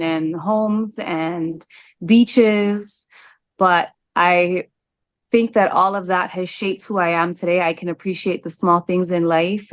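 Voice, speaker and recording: A woman, answering interview questions candidly, with frequent "um" pauses. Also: on the low side, no echo. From Hawaii. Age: 40s. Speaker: interview guest.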